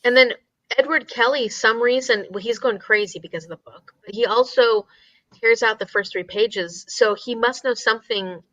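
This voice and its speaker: A woman with a bright voice talks as co-host of a casual book discussion. She explains in an animated way, circling back on her words for emphasis. The sound is dry and a little lo-fi. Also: fairly high pitch, normal speed, no echo.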